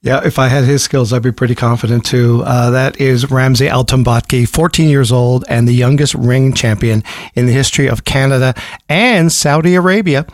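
Male morning radio host, friendly and chatty, middle pitch. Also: moderate pace. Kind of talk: radio interview.

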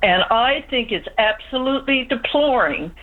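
A woman's voice: fairly high pitch, animated, normal speed. Older lady w calling in, worn down and pleading, tinny phone sound. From Newfoundland, Canada. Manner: frustrated, pleading, talks in long runs. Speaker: caller. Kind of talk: call-in radio complaint.

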